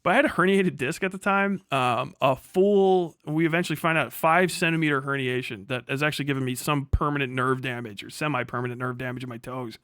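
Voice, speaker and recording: A man's voice: mid-range voice, a little fast, few pauses, fairly bright tone, no room sound, clean studio sound. A man doing casual, profane reaction commentary as a streamer, exasperated and emphatic, rambling as he argues.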